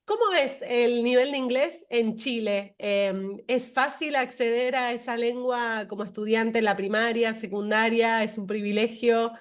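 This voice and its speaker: A woman hosting an online interview, friendly and quick with agreeing sounds. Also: a little high. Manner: friendly, frequent backchannel, laughs often. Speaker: interview host. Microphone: video call.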